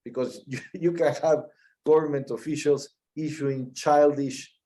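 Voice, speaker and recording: A middle-aged man from Peru with a Peruvian Spanish accent, answering interview questions in a measured way, often restarting and repeating words. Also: on the high side, steady tone, warm tone. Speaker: mining company CEO.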